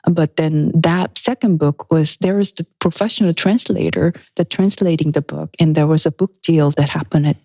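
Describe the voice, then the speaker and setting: A woman, a guest pianist and author, talking in a thoughtful, unhurried way in a studio interview. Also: fairly low pitch, no echo, rough recording. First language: Korean. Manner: reflective, unhurried, with soft laughs and restarts.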